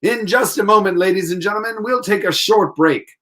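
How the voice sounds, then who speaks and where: A man plays a vintage 1940s radio announcer. His voice sits high, and his delivery is formal, polished and salesmanlike, as in an old sponsored broadcast. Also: a little fast, bright, forceful tone. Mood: polished, upbeat.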